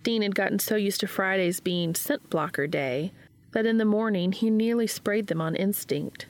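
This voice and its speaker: A woman reading fan fiction aloud as an expressive scripted story narration. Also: medium pitch, moderate pace, soft background music.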